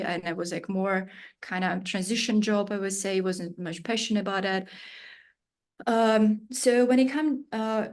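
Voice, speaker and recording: A woman's voice: medium pitch, a little mellow. A woman in her thirties, a native Russian speaker, answering interview questions with searching phrasing, frequent fillers and non-native grammar. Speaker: studio founder, guest.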